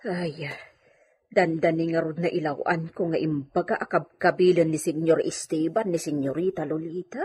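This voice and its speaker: A woman's voice: sits low, steady tone, moderate pace, a little mellow, husky. A woman playing a nervous, evasive housemaid in a radio drama, speaking hesitantly with an Ilocano accent. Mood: anxious. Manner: hesitant, stammering, flustered.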